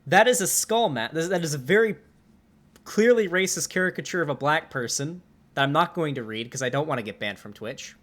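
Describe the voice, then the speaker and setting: A man's voice: on the high side, brisk. A man in his twenties streaming live, talking casually and reacting with exasperated outbursts and swearing as he comments on memes. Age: 20s. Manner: swearing, animated and exasperated, with laughs.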